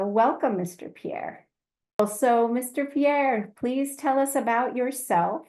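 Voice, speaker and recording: A woman's voice: mid-range voice, a little mellow. A woman moderating a webinar on a video call, introducing a guest and asking questions with frequent ums and restarts.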